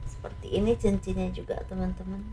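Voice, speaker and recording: A slightly hoarse woman doing a casual tarot reading, speaking softly and letting her phrases trail off. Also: medium pitch, little pitch movement, a little fast, slight background noise, poor audio. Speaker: tarot reader.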